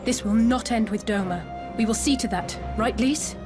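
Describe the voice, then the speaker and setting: A woman's voice: a little high, moderate pace, over music, lightly acted. An actress playing a confident, inquisitive female adventurer in a video game cutscene. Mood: determined.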